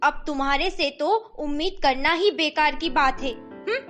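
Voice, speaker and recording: A woman playing a bossy, exasperated middle-aged Indian mother in an animated family melodrama, speaking emphatically. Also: high voice, moderate pace, faint music behind. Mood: nagging, exasperated.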